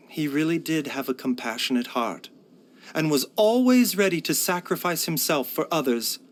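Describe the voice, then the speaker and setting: A man playing a gentle, composed physician in a fantasy video game, speaking politely in measured, kindly explanations. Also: on the high side, animated, natural voice. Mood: solemn, reflective. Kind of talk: scripted video game character voice lines.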